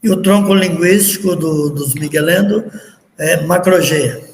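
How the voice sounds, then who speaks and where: Male radio host, slightly high voice, slow-paced, booming reverb. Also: a little hiss or hum. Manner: slow, deliberate questioning. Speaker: radio program host and professor. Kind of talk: radio interview. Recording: echoey radio studio, long reverb, faint music.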